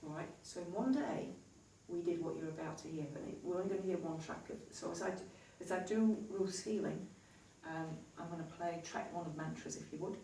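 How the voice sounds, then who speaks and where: Woman, low contralto voice, chatty storyteller, roomy hall, mic at a distance. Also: fairly quick, gravelly, hardly any pauses, a little hiss or hum. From New Zealand. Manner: animated storyteller, acts out quotes. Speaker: healer and live event host. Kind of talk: chatty stage talk to an audience. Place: live event venue. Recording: echoey hall, heard from a distance.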